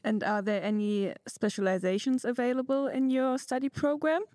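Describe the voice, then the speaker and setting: A woman hosting a podcast, reading a brisk intro and asking her guests clear questions. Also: a little high, level tone, a little mellow, no room sound, clean studio sound. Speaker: podcast host.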